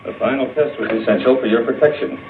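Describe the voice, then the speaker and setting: An actor playing a cold, confident scientist in a 1960s horror film, speaking in a clinical, deliberate manner. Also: male voice, mid-range voice, slight background noise, close to an everyday voice. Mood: cold, assured. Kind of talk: 1960s B-movie horror acting. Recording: muffled echoey old film soundtrack, music behind.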